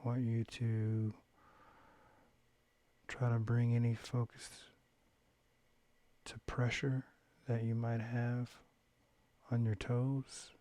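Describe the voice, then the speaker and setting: A man leading a guided meditation, giving gentle, unhurried instructions with long pauses between phrases. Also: mid-range voice, completely flat delivery. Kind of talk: guided body-scan meditation, calm instruction.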